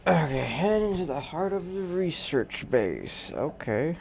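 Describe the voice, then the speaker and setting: A game streamer chatting casually over play. The voice swings up and down in pitch but moves at a slow pace with a creaky edge. Reactions are muttered as they come. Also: big pitch swings, a little mellow, slight background noise. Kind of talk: casual gameplay commentary.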